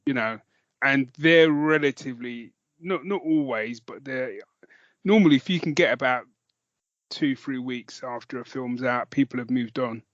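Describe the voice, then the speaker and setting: A man with a British accent co-hosting a podcast, chatting casually in a rambling, halting way that often backtracks. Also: medium pitch, fairly bright tone, no echo, dull audio. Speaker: podcast co-host. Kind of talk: casual chat about films and comics.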